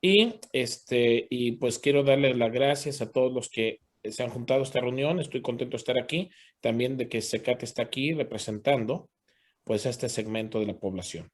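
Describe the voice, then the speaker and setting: A man interpreting live at an online community meeting, switching briskly between Spanish and English and using fillers like "este" and "pues". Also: mid-range voice. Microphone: video call.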